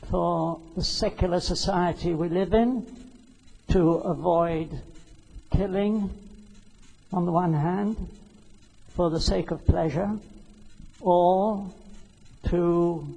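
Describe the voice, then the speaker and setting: A man's voice: high-pitched, creaky, warm tone, slightly rough. A man from the audience asking a long question with wandering, hesitant phrasing. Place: large hall. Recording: long reverb in a hall.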